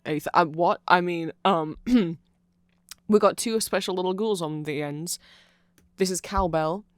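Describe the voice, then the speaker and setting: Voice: medium pitch, measured pace, no echo. A speaker giving an off-the-cuff, nerdy ASMR explanation, rambling along with asides and self-corrections, close to the microphone. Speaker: ASMR audio creator. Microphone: close microphone in a dry space.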